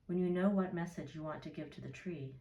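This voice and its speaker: A woman narrating a guided meditation in a soothing, measured way, leaving gentle pauses. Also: low-pitched, lo-fi sound. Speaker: therapist leading a guided meditation. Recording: roomy echo, distant from mic.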